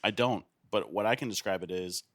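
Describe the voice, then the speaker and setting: A man's voice: low-pitched, fairly quick, fairly bright tone, no echo. A man bantering casually as a podcast guest, with a rambling, profane way of talking.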